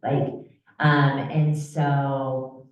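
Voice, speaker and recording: A woman's voice: extremely low pitch, flat delivery, drawn-out words. A woman speaking informally as a survivor advocate on a panel, conversational and often checking in with listeners by saying "right?". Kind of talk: informal panel talk with personal story. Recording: muffled, echoey room, far from mic.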